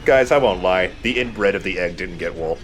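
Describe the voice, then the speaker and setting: A man's voice: deep voice, lively intonation, normal speed, a little hiss or hum. A man casually commentating as he plays a video game, rambling, joking and swearing. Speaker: let's play host, playing the game. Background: game soundtrack music.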